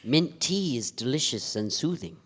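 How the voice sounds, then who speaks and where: A man playing a solemn, literal-minded, pedantic mystic in a live staged play reading, formal and deadpan. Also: medium pitch, normal speed. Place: theatre stage before a live audience. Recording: reverberant theatre hall, live stage.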